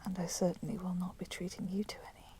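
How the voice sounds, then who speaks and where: A woman playing a coolly menacing, possessive female vampire in a whispered ASMR roleplay, with soft, breathy delivery and formal, deliberate phrasing. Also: fairly low pitch, lightly acted. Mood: controlled, possessive. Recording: steady background noise, crackling fire sound. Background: crackling fire.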